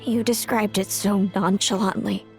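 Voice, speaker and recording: An actress playing a bitter, wounded young-sounding fairy elder sister in a video game, speaking in a measured, emotional confiding way. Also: female voice, mid-range voice, fairly even intonation, darkish tone, natural voice. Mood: confessing. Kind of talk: dramatic video game voice acting. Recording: music behind the voice. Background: music.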